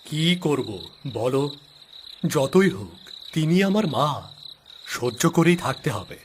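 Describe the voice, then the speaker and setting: A man's voice: mid-range voice, lively intonation, slow pace, slight background noise. A man voicing a hesitant, resigned, compliant husband in a cartoon.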